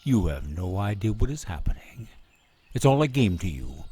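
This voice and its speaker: A man's voice: low-pitched, sing-song, normal speed, dark tone, slightly rough, heavily acted. Man playing a distant-sounding, arrogant scheming young prince. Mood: angry, commanding. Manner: imperious, menacing, grandly declaiming. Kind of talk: radio drama acting.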